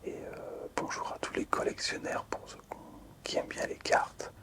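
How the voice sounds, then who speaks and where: A man whispering softly and hesitantly in an unhurried ASMR style, as a video creator showing something to viewers. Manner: soft, unhurried, hesitant with pauses.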